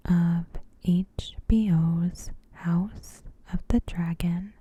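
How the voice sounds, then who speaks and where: Asmr lady, super slow n soft, really breathy whispery voice, dark and mellow, reading out a recap. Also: fairly low pitch, low-quality recording. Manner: hushed, slow, deliberate pauses. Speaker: ASMR host. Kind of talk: ASMR, softly spoken scripted episode recap.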